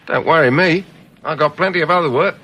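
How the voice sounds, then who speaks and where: An actor in a radio drama playing a cocky working-class driver, blunt, clipped and informal. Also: male voice, on the high side, normal speed, lightly acted. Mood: bluffing, impatient. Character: cocky working-class male getaway driver posing as plumber. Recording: noisy vehicle ambience behind voice.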